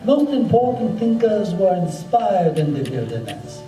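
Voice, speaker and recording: A man playing a solemn colonel and parks official in an amateur radio play, speaking in a measured, oratorical way. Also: high voice, very animated. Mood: solemn, earnest. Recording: echoey room, distant mic, music behind.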